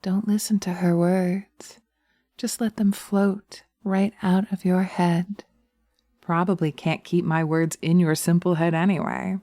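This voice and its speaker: A woman's voice: lowish voice, little pitch movement, a little slow, dark tone, no room sound, clean studio sound. A woman voicing a scornful, domineering witch who taunts and commands in a hypnosis roleplay audio. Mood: mocking.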